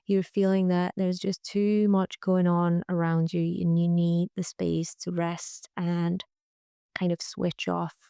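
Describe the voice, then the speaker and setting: Slow, creaky-voiced woman guiding relaxation. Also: lowish voice, flat delivery, dry recording, studio quality. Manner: gentle, soothing, pausing often. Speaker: yoga nidra guide. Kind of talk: guided relaxation meditation, soft and unhurried.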